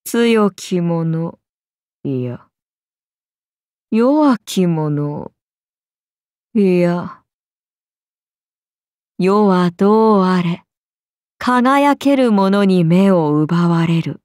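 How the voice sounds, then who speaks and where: Very slow, haughty serpent goddess. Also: female voice, lowish voice, animated, a little mellow, no echo, clean studio sound. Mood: imperious. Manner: imperious, slow, archaic and languid speech. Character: haughty, ancient serpent goddess, amused and menacing. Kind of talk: video game character voice lines.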